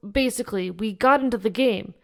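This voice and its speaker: A woman's voice: fairly high pitch, fairly quick, over music. A woman playing a frightened young gamer friend in an audio drama, speaking in casual, sweary slang. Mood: worried. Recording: clearly noisy recording with clicks.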